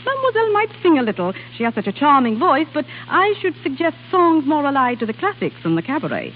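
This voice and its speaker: A woman's voice: sits high, sing-song, quick pace. An actress playing a wry, worldly, managing society lady in a 1930s radio drama, with an arch, refined, theatrical delivery. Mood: brisk, managing. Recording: hissy, tinny old radio broadcast.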